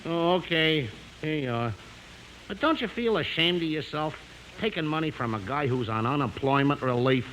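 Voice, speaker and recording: An actor playing a slangy, inquisitive, hapless pool player in a 1950s radio comedy. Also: male voice, on the high side, animated, moderate pace, fairly bright tone. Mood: grumbling, envious. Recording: noisy 1950s radio recording.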